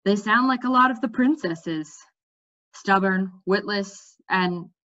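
Woman reading as a polite young king, bright-toned. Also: medium pitch, takes it slowly. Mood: understanding. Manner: polite, questioning. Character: polite, mildly doubtful young male king. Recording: slightly dull webcam audio.

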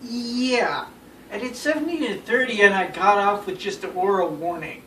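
A man's voice: high voice, normal speed. A young adult man telling a story casually to friends, exasperated, emphatic and given to repeating himself. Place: small bedroom, indoors. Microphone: phone camera across the room. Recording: roomy, noisy recording, distant phone mic.

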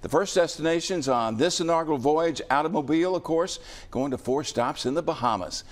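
An older man anchoring the news from a TV studio, relaxed and bantering with the reporter. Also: a little high, fairly quick.

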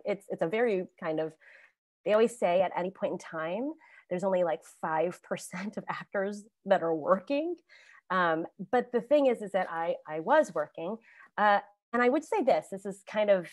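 A woman on a video call panel telling stories about her acting career in a chatty, animated way, laughing often and restarting sentences. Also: lowish voice, no room sound. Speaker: alumni panelist, actor.

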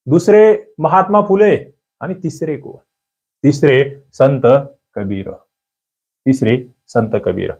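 A man's voice: on the high side, darkish tone. A man with a slightly raised voice runs a quiz-style history lesson. He coaxes his students along and says the key answers twice. The sound is dull and lo-fi. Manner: coaxing, repeats key answers. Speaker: exam coaching teacher.

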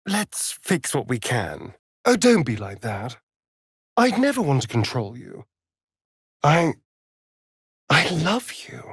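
A vain male vampire from a fantasy video game. His voice is breathy and husky, swooping dramatically between arch flourishes and murmured asides. He drawls his words at an unhurried pace, flirtatious and sardonic throughout. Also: fairly high pitch, fairly bright tone, no echo, clean studio sound, exaggerated voice. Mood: pleading.

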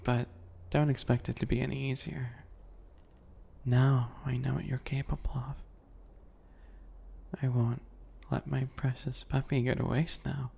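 A man voicing a sweet-spoken, obsessive male bunny predator in an ASMR roleplay, soft, breathy and teasing, often whispering. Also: medium pitch, little pitch movement. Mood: sadistic affection. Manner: slow, teasing, giggly, menacing.

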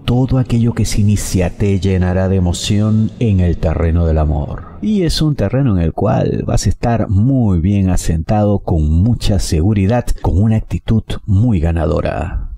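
Earnest man reading a horoscope, mellow. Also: deep voice. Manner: earnest, emphatic, lists phrases in rhythmic series. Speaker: tarot reader and horoscope host. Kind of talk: weekly horoscope reading to camera. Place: indoor studio set. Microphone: headset mic.

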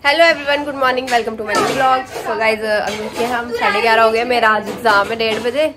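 A woman's voice: a little high, fairly even intonation, measured pace, background noise. A young woman with a cold chats to her viewers at home, her throat a little sore. She talks into a phone held close. Dishes clatter steadily behind her. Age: young adult. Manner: chatty, addresses viewers as guys. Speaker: vlogger. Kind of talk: casual home vlog. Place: home, indoors.

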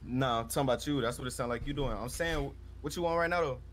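A man's voice: mid-range voice, quick pace. A man chatting casually in slang as a player in an online role-play game. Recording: game chat with clear vehicle noise.

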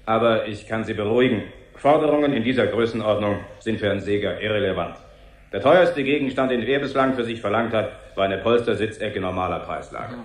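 A man's voice: on the low side, brisk, a little hiss or hum, natural voice. A man playing a formal, reassuring official spokesman with a bureaucratic manner in a 1970s German radio play. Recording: echoey press-room effect.

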